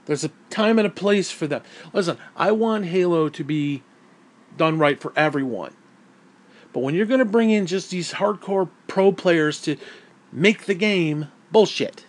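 Male gamer, raised pitch, hoarse and fading voice, profane heated rant. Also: lively intonation, a little slow. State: hoarse, losing his voice. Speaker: gaming vlogger. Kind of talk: angry opinion rant.

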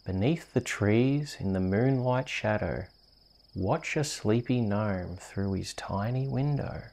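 An Australian man with a mellow, slightly creaky voice reads a bedtime story for ASMR. His delivery is slow, soft and soothing, as a host settling listeners down for sleep. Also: on the low side, a little hiss or hum. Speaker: host reading bedtime stories on a video channel.

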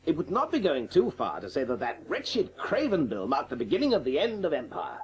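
An actor playing a blustering, indignant cricket patriot with an English accent in a radio comedy. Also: male voice, a little high, wildly swooping pitch, a little fast, lo-fi sound. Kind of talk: radio comedy drama acting.

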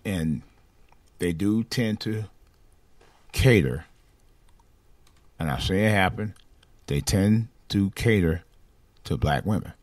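A man talking casually about his own life, rambling and restarting phrases, with a light voice that has little bass in it. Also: expressive, slow, long pauses, a little mellow, no room sound. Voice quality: light voice without much bass. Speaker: podcast host. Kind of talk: casual personal monologue.

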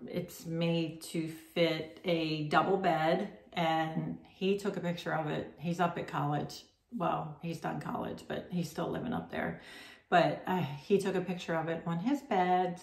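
Chatty woman with a gravelly voice. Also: on the low side, fairly even intonation, unhurried. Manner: rambling, chatty, many ums and self-corrections. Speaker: crochet podcast host. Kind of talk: casual chat to camera. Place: home room with red striped wallpaper, indoors. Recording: roomy echo.

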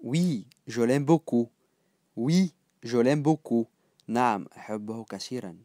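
A man with an Egyptian accent teaching phrases, repeating each one slowly and then glossing it in quick, casual asides. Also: mid-range voice, lively intonation, dark tone, dry recording, clean studio sound. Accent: Egyptian Arabic. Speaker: language teacher. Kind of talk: phrase-by-phrase language lesson.

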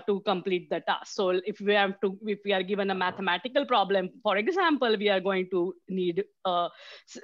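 A woman in her forties from Mumbai giving a mentorship talk over a video call, halting with many fillers and restarts. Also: medium pitch, no room sound. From Mumbai, India. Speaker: guest speaker. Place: small room indoors. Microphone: headset on a video call. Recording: dull lo-fi video call audio.

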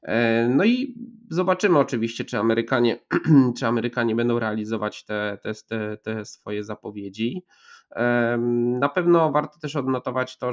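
Hesitant man analysing on a video call. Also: medium pitch. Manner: measured, many hesitations and restarts. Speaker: geopolitics commentator. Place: home room, indoors. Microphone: video call, earphones with mic. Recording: slightly dull lo-fi call audio.

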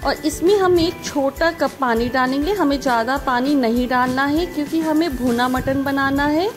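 High-voiced woman explaining a recipe. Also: moderate pace. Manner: friendly instructive, repeats emphatic praise. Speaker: cooking channel host. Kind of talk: step-by-step recipe voice-over. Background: background music.

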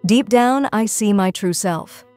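A woman reads the lead part in a comic dub at a middle pitch. Her delivery is playful and lively, with soft music behind her. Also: a little slow. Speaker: comic dub narrator and voice actor.

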